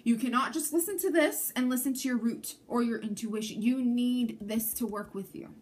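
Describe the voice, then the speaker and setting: A woman's voice: fairly high pitch, moderate pace, darkish tone. A woman from Canada whose first language is Armenian, giving a chatty tarot reading to camera and often checking in with the viewer. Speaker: tarot reader.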